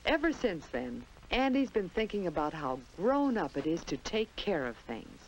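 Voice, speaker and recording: An American woman with a fairly low voice narrates an educational film from the 1950s. Her delivery is very animated, phrased simply as a story for young children. The old soundtrack carries faint noise. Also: normal speed, poor audio.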